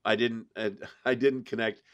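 A man hosting a casual review discussion, talking in a halting way as he searches for words. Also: medium pitch, flat delivery, clear, resonant tone, dull audio. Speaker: podcast host.

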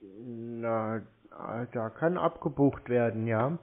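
A man arguing casually on a phone call in a curt, provocative deadpan. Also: medium pitch, slow pace. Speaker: prank call recipient baiting scam callers. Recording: tinny phone-like call audio.

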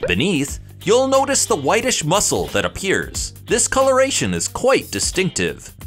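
A man narrates an educational science piece in a fairly high voice. His intonation swings widely as he explains, in a scripted, presentational manner. Music plays along with his voice. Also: fairly bright tone. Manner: explanatory, presentational, addresses viewers with rhetorical questions.

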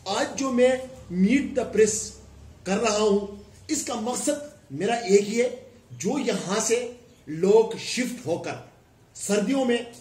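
A man's voice: high voice, lively intonation, slow, a little mellow. A middle-aged man from Pakistan, a former councillor, giving an emphatic press conference statement into a cluster of microphones. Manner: emphatic, gesturing with raised hands, speaking in listed repetitions. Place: indoor room before a plain wall. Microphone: cluster of press microphones on a table. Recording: echoey room.

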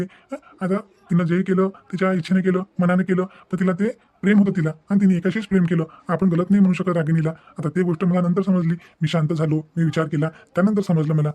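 A man voicing a calm, understanding young adult son in a cartoon family melodrama, reasoning gently. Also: high-pitched. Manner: reassuring, reasoning.

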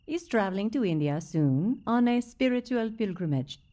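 A woman's voice: mid-range voice, lively intonation, normal speed, warm tone, lightly acted. An actress playing a hospitable, peacemaking middle-aged mother in an audio drama, with a soothing, motherly manner. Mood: reassuring.

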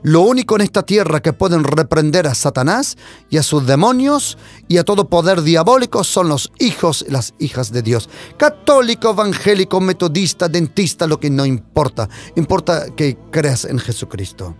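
Impassioned male preacher, lively pitch. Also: moderate pace, soft background music. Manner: impassioned, swings between loud declarations and hushed asides. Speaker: pastor. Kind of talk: preaching sermon.

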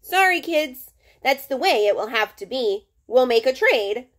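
A woman's voice: high voice, animated, fairly bright tone. Woman reading as a firm police officer. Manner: measured, firm. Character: stern but kindly male police officer.

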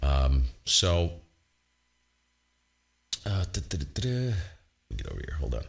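A man with a boomy borderline baritone voice, casually walking through his ham radio setup at home and explaining what he hears. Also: a little slow, creaky. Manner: relaxed, explanatory, thinking aloud. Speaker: ham radio operator and host. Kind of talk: casual technical walkthrough.

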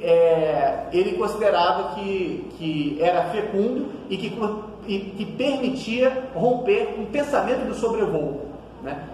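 A man in his thirties with a Brazilian Portuguese accent lectures with animated energy, his fairly high voice bouncing around a hard seminar room. He is picked up by a camera across the table, so the sound is distant, echoey and carries a long reverb tail. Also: slight background noise. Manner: animated, gesturing widely, frequent tag questions. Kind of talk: academic lecture. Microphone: far camera across the table.

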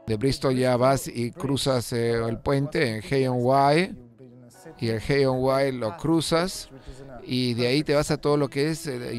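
A man narrating a car video as host in a relaxed, conversational voice-over, with frequent fillers and restarts. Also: medium pitch. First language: Spanish; lived in England over sixteen years. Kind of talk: unscripted voice-over commentary.

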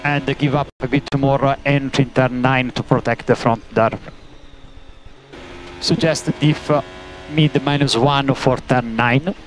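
A man working as a race engineer, giving terse, halting instructions over team radio and repeating key commands. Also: mid-range voice, steady tone. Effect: team radio transmission. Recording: noisy motor-racing team radio.

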